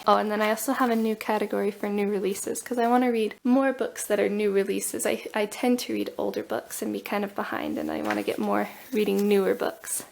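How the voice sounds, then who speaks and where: A woman with a cold talks at a middle pitch in a relaxed home walkthrough. Her voice carries some gravelly fry, and she stays chatty and a bit nerdy, thinking aloud as she goes. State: has a cold. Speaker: booktuber. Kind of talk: casual walkthrough vlog. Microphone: overhead camera on table, hands only.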